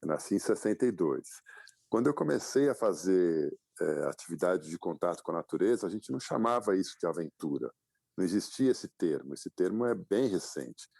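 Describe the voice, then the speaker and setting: Deep gravelly-voiced man in his fifties. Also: animated, brisk, darkish tone, dry recording, clean studio sound. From Brazil. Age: 50s. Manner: reflective, many fillers and restarts. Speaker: guest, publisher and adventurer. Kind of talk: casual interview storytelling.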